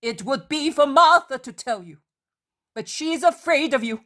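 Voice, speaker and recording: A woman's voice: sits high, measured pace, pitched above the performer's own voice. A woman voicing a fierce, devout woman of faith who commands in a thundering voice. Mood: furious. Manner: thundering, impassioned shouting.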